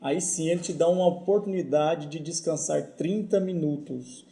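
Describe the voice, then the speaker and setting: A Brazilian man co-hosting a podcast from home, explaining ideas in a relaxed way and repeating words as he thinks. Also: fairly high pitch, moderate pace. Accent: Brazilian Portuguese. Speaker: podcast co-host. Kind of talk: casual podcast discussion.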